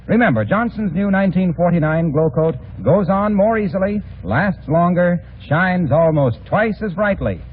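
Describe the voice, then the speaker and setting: Male American ad announcer, 1940s radio style, emphatic and slightly high-pitched, on a tinny vintage recording. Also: lively intonation, normal speed, hardly any pauses, slight background noise. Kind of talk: 1940s radio advertising read, scripted.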